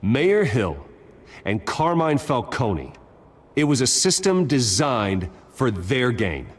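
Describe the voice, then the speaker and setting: An actor playing a brooding young billionaire hero, speaking in a low, husky, controlled voice. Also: male voice, sing-song, slow pace, exaggerated voice. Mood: solemn. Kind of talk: video game voice acting.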